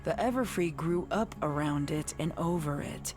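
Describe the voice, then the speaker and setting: A woman reading dark horror fiction as scripted narration. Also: low-pitched, normal speed, music in the background.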